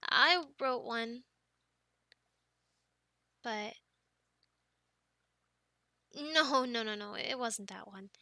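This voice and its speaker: A woman's voice: on the high side, extremely slow, pauses often, sharp tone, no echo. A young woman performing an ASMR roleplay in a playful, girlish manner, close to the microphone. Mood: playful chat. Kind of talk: ASMR roleplay, whispered storytelling and casual chat.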